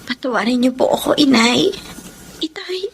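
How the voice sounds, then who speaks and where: An actress playing a heartbroken, remorseful young factory worker in a Filipino radio drama, pleading in a faltering, emotional voice. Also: female voice, high voice, animated, normal speed, creaky, breathy edge, exaggerated voice. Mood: subdued, remorseful.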